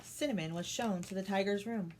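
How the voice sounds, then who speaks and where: A woman's voice: medium pitch, brisk, darkish tone. A woman reading a children's story aloud as a storytime host. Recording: some background noise.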